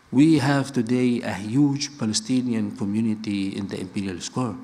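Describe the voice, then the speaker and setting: A man's voice: fairly low pitch, a little mellow, slight background noise. Earnest man giving a public talk. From Lebanon. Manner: earnest, building points through repetition, frequent restarts. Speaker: speaker at a political talk.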